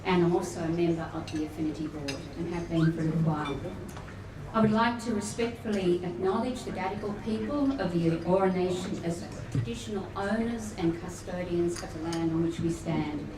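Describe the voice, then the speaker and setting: A woman welcoming guests at a luncheon, formal but with warm chatty asides. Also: on the low side, a little fast. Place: function room at a luncheon event. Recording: echoey room, distant mic, noisy.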